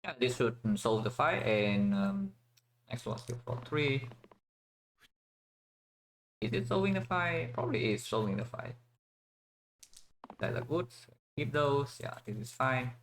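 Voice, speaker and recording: A man's voice: medium pitch, big pitch swings, a little slow, pauses often, a little hiss or hum, rough recording. Vietnamese-raised man thinking aloud. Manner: thinks aloud with many fillers and restarts. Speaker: streamer playing a strategy game. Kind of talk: casual gameplay commentary.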